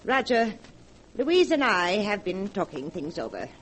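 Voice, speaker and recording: An actress playing an indignant, strong-willed elderly aunt in 1940s radio style. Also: female voice, mid-range voice, sing-song, unhurried, a bit bright. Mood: complaining. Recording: noisy vintage 1940s radio recording.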